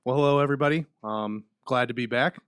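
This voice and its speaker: A man co-hosting a podcast, jokey and quick to laugh, recorded in a university podcast studio. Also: medium pitch, clean tone, dry recording. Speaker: podcast co-host. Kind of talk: casual podcast banter. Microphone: podcast mic.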